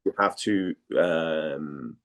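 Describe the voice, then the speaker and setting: Man, from Denmark, deep voice, informal interview answers, halting delivery with repeated words. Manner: hesitant, halting, repeats words while thinking. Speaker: voice actor, interview guest. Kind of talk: casual interview chat.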